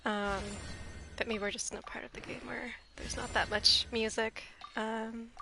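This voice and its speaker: A sleepy woman streamer talks through her game in a soft, hushed voice. Her tone is bright and sits a little high. Faint noise hangs in the background. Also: level tone, moderate pace, gravelly. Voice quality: soft, quiet voice. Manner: holds back volume, chatty with nervous laughs. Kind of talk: casual gameplay commentary. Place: home room, shared house.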